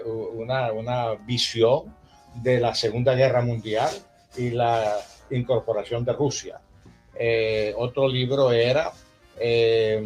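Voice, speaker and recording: A man's voice: medium pitch, husky. An older man from Venezuela reminiscing conversationally in a discursive, anecdotal way. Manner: discursive, anecdotal, often tagging phrases with a questioning check. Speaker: interviewed historian and former university rector. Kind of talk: conversational reminiscence at a public talk.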